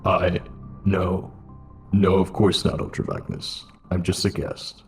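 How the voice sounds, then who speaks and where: Casting: low-voiced heroic robot commander played by a man, grave, earnest, measured, roomy sound. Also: completely flat delivery, soft background music. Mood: humble. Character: noble, weary male robot leader. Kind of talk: scripted fan dub of a comic.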